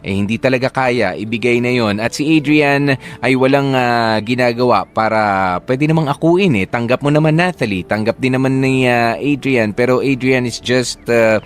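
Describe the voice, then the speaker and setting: A man's voice: mid-range voice, normal speed. A Filipino man working as a radio DJ, talking conversationally and mixing in English phrases as he reads listener letters and gives advice. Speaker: radio DJ hosting a love-story advice show. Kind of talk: reading listener letters and giving casual advice.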